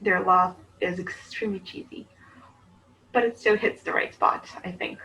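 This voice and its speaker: A woman from Bulgaria chats about books in a lively, animated way, often stopping and restarting her sentences. Her voice sits a little low for a woman. A faint background hiss runs under her words. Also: moderate pace, low-quality recording. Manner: chatty, animated, self-interrupting. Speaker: book channel co-host. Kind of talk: casual book chat.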